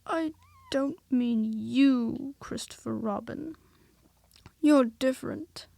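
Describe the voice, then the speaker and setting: A glum old donkey droops through every word, slow and mournful, as a woman reads him out. The voice has a gravelly edge and sounds close and dry, with no room around it. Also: high-pitched, dark tone, no echo, pitched above the performer's own voice, exaggerated voice. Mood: melancholy. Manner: slow, drawn-out, mournful delivery. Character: gloomy old male donkey, slow and melancholy. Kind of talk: storybook reading.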